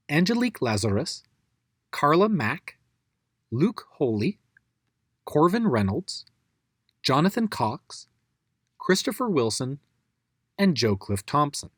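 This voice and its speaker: Lively man reading an outro. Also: on the high side, slow, pauses often, slightly rough, no echo, clean studio sound. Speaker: host announcing credits. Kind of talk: friendly scripted outro announcement.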